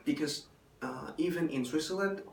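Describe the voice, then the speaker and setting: A young man, a hotel intern and native Chinese speaker, giving a halting spoken evaluation in English with many fillers. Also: a little high. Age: young adult. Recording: roomy, distant, faint room noise.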